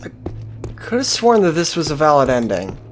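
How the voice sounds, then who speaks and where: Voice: mid-range voice, huge pitch swings, normal speed, faint music behind. A game commentator talking casually and off the cuff, in a sweary, sardonic, offhand manner. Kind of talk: casual, improvised gameplay commentary.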